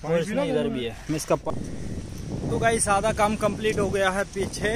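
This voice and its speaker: A man's voice: high voice, normal speed. Young male vlogger, chatty with viewers, phone at arm's length outdoors, heavy background noise. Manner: chatty, addresses viewers as friends. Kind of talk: casual travel vlog. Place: outdoors on a beach.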